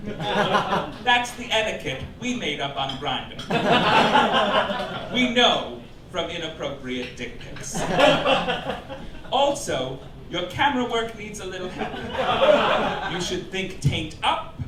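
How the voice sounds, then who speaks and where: A man's voice: sits high, animated, slow pace, pauses often. A man performing a scripted satirical monologue on a cabaret stage, delivering mock-formal announcements in an arch, campy manner with emphatic punchlines. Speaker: comedian presiding as chairman of a mock club. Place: live cabaret club stage before an audience. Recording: roomy live club recording, distant, loud noise. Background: audience laughter, loud room noise.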